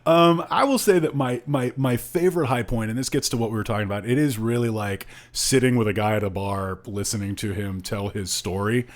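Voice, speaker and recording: A man with a medium-pitched voice reviews a book as a podcast co-host. He is frank and opinionated, and keeps his delivery informal and conversational. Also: brisk, few pauses.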